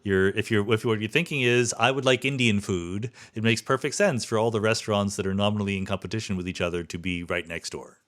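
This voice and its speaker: Podcast host: American man, fifties, lowish voice, conversational, reworks his questions mid-thought. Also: fairly even intonation, fast talker, few pauses, a bit bright. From US East Coast. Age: 50s. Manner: thinks aloud, rephrasing questions as he goes. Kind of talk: conversational interview.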